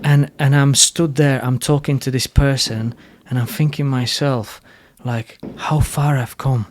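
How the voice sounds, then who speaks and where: A man's voice: mid-range voice, fairly even intonation, unhurried. A man in his thirties with an Eastern European accent, hosting a podcast conversation and speaking frankly, swearing casually. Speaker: podcast host.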